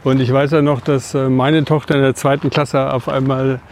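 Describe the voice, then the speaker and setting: A man's voice: medium pitch, level tone, moderate pace, a little mellow, a little hiss or hum. Chatty man telling anecdotes. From southern Hesse, Odenwald. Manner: rambling anecdotal storyteller. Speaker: podcast co-host. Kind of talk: casual conversation.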